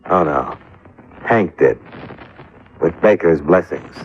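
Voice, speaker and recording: An actor playing a wry, stubborn American insurance investigator in a clipped 1950s radio detective style. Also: male voice, deep voice, expressive, takes it slowly, breathy edge, heavily acted. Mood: matter-of-fact. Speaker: lead actor and narrator. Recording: muffled, noisy old radio recording.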